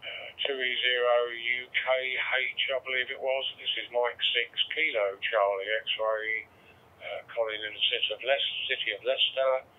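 A man's voice: mid-range voice, little pitch movement, moderate pace, slight background noise. A man making an amateur radio call, heard through a two-way radio. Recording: narrow phone-like digital radio transmission.

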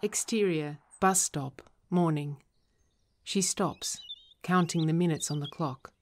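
A woman with a low-pitched voice narrates a script reading. She delivers stage directions as scripted narration, describing each scene and action for the listener. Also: slow, slight background noise.

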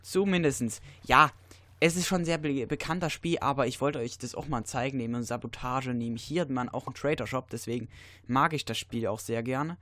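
A teenage boy with a blocked, stuffy nose from a cold talks through a video game at a middle pitch. He rambles loosely, fills his gaps with "uh", and now and then jumps into excited yelps. The sound is dry and close to the microphone, with no room around it. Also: fairly quick, no room sound. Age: teens. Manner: rambling, many fillers, sudden excited outbursts. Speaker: let's player. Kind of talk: casual gameplay commentary.

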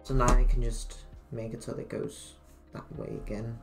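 A young woman with a Dutch accent streaming from her bedroom, thinking aloud in halting speech full of fillers as she works on a sewing pattern. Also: rumbling low, level tone, music in the background. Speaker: cosplay streamer. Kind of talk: casual livestream chat while pattern making. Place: small bedroom at home.

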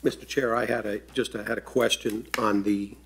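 A man on a town board, asking formal, deliberate questions through the chair. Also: on the low side, flat delivery, creaky. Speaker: board member.

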